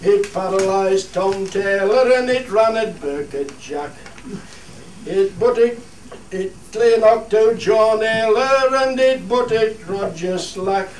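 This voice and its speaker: A man's voice: high-pitched, slow pace. A man acting a homely country singer with a Cumbrian accent, performing a comic dialect ballad in a radio play. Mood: droll storytelling. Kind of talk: dialect radio play, spoken verse with sung choruses. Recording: noisy, echoey room, distant microphone.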